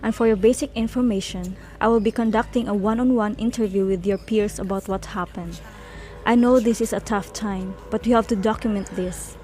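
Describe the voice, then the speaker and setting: A woman's voice: medium pitch, fairly even intonation, a little fast, warm tone, faint music behind, natural voice. A woman voicing a stern, impatient investigator who questions students in a firm, businesslike way in a student radio drama.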